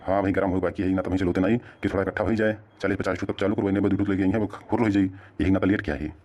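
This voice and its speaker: Hurried Awadhi cartoon errand man, voiced by a man: very low, extremely fast, rustic, on a dull recording. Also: steady tone, a little mellow, lightly acted. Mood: explaining. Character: hurried, excuse-making village errand man in comic cartoon. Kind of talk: comic cartoon dialogue. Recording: dull, band-limited sound.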